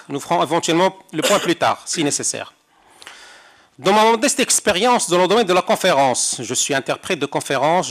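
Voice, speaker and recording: An older man from Morocco delivers a prepared seminar talk. His voice sits a little high, and his delivery is measured and rhetorical, with ironic asides. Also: fairly bright tone. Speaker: conference interpreter giving a talk. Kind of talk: reading a prepared speech. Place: seminar hall.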